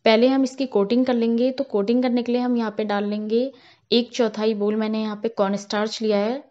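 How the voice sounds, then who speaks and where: Calm woman guiding a home cooking tutorial. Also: a little high, fairly quick, muffled recording. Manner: calm, step-by-step instructional. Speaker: cooking channel host. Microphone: voice-over, not on camera.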